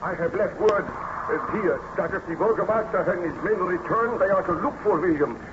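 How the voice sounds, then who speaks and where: An actor playing an anguished aristocratic baron in old radio drama style, with formal, measured, theatrical diction, on a muffled, noisy recording. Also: male voice, high voice, lightly acted. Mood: concerned, reassuring. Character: anguished aristocratic baron, husband, recounting his tragic tale. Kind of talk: 1930s radio drama acting.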